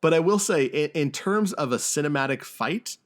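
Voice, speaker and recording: A man hosting a casual film discussion podcast, who speaks haltingly and often repeats words as he goes. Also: a little high, lively intonation. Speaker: podcast host.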